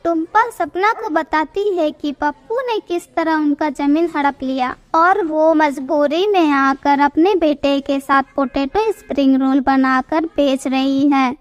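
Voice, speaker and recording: A woman tells a scripted cartoon moral tale as its narrator. Her voice is very high and youthful in pitch, carrying the story in the third person. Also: a little slow, hardly any pauses.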